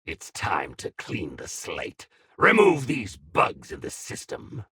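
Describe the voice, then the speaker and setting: An actor playing a cold, ominous, accusing man in a video game, with a rough husky voice and portentous delivery. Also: fairly low pitch, huge pitch swings, takes it slowly, pushed and bright, full character voice. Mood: cold, commanding. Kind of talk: dramatic video game character lines.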